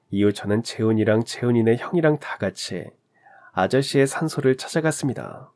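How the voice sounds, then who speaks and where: A man calmly narrating a listener's true story in an earnest storytelling voice. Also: medium pitch.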